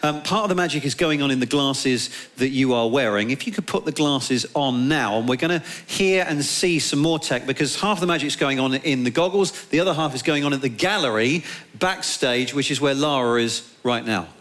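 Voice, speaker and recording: A man's voice: medium pitch, fairly quick, a bit bright. A man presenting a live stage show to an audience. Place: theatre stage. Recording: live theatre with faint audience noise.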